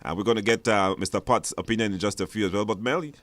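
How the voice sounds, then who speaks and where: A man's voice: lowish voice, fast, a bit bright, no room sound. A man with a Belizean accent hosting a live call-in discussion, with informal, hesitant phrasing. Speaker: talk show host.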